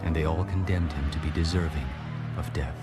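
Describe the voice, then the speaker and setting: A man narrating scripture in a measured, solemn audio Bible style. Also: rumbling low, steady tone. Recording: music bed behind narration.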